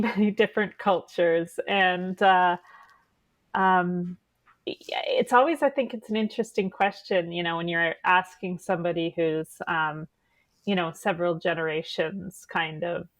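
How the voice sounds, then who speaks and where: A woman's voice: on the low side, dry recording. Canadian woman host, forties, dry headset sound, chatty and meandering. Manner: rambling, many restarts, laughs at herself. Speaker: podcast host. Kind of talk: casual video-call chat. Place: home kitchen.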